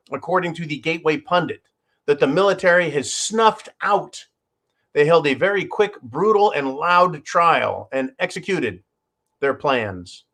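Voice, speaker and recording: Guy doing a snarky news rant, cusses a lot, talks to "folks", slightly higher voice. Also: a bit bright. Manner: sarcastic, profane, keeps addressing folks. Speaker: channel host. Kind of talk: opinionated news commentary.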